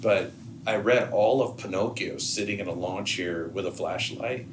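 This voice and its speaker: A middle-aged man with a deep, low voice chats as a podcast guest, mixing anecdotes with advice. He speaks haltingly, repeating words as he thinks, over clearly audible background noise. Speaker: head of school, podcast guest.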